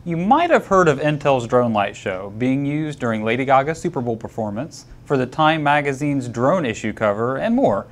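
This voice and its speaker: A man reads scripted news segments as a co-host, with a very lively, swinging intonation. He slips into dry humor during the banter. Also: mid-range voice, normal speed, few pauses. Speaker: show co-host.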